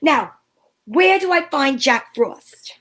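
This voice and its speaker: A woman's voice: sits high, animated, slow, fairly bright tone, exaggerated voice. A woman playing a sassy, wisecracking young lady detective who narrates in an arch, old-time radio comedy style. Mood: indignant, wry.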